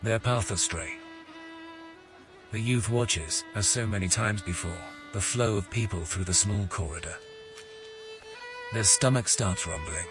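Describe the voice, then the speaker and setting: Low-voiced man as fantasy game narrator. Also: a little slow, long pauses, music in the background, natural voice. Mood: measured, ominous. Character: storyteller narrator of a fantasy world.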